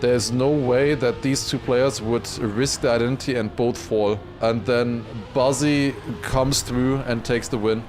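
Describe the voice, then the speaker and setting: A man livestreaming excited esports commentary mixed with casual chat, raising his voice to a shout at big moments. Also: mid-range voice, measured pace, few pauses, music in the background. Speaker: esports watchparty streamer and commentator.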